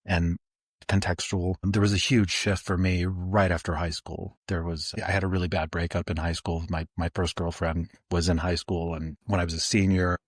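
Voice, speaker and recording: A man in his forties, co-hosting a podcast, talking reflectively and haltingly with many fillers and restarts. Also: low-pitched, level tone, no echo, clean studio sound. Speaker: podcast co-host.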